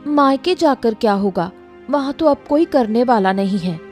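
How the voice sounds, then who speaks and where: A woman's voice: on the high side, animated, normal speed, a little mellow, faint music behind, lightly acted. A woman playing a disenchanted married woman in an acted story, plaintive and gossipy as she confides in a neighbour. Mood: disenchanted, complaining. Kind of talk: acted Hindi audio story dialogue.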